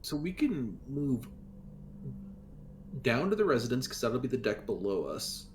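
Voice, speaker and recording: Mid-pitched man playing a tabletop game. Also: big pitch swings, a little slow, slight background noise. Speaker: player in a tabletop roleplaying game. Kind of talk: casual game discussion.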